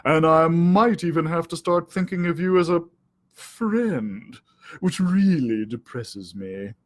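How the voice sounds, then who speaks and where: A man voicing a sly, droll male cat in a storybook, with a slow, mock-gloomy drawl. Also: a little high, lively intonation. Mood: mock mournful.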